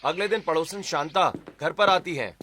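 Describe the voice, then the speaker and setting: A man's voice: fairly high pitch, moderate pace, sharp tone, a little hiss or hum. A man narrating a scripted domestic family story in Hindi.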